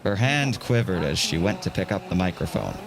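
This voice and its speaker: A man's voice: sits low, expressive, fairly quick, noisy background. A man reading scripted narration for a dramatic audio story. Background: music.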